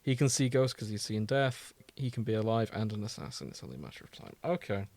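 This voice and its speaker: A man's voice: fairly low pitch, sing-song, fast talker, creaky, a little mellow, dry recording. A man co-hosting a podcast, chatting casually about fandom. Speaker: podcast co-host.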